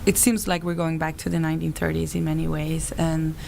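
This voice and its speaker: Soft-spoken Swedish woman, noisy line. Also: low-pitched, level tone, gravelly. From Sweden. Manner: measured, thoughtful, hesitant with fillers. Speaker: film director guest. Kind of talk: radio interview.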